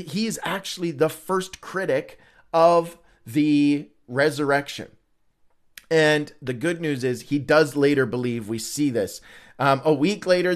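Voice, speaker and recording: Higher-voiced man teaching a Bible study. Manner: conversational, rhetorical questions answered by himself. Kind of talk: informal Bible read-along teaching with commentary.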